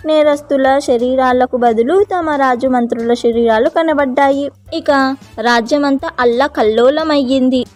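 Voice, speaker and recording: A woman's voice: high voice, a little mellow. A woman narrating a scripted children's tale as a storyteller.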